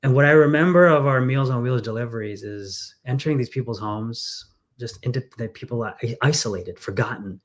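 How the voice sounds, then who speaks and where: A man's voice: mid-range voice, brisk. Earnest man, candidate in an interview. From England. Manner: earnest, storytelling, frequent fillers. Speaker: congressional candidate. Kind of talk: conversational interview.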